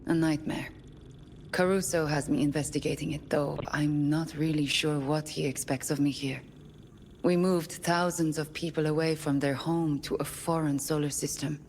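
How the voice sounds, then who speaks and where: A woman playing a composed, probing therapist in a space colony for a game audio log, speaking in a calm, clinical, measured way. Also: deep voice, long reverb tail, a little hiss or hum. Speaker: doctor, therapist.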